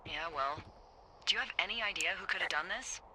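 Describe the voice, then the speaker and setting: An actress playing a wry, easygoing American fire lookout supervisor in a video game, talking conversationally and dryly over a walkie-talkie. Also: female voice, lowish voice, a little fast. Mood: concerned. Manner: dry, teasing, conversational. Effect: walkie-talkie radio. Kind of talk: naturalistic video game dialogue. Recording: noisy radio with ambience.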